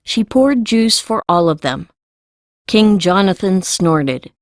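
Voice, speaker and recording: A woman's voice: mid-range voice, animated, takes it slowly, no room sound, studio quality. A woman reading scripted story narration as the narrator of an audiobook.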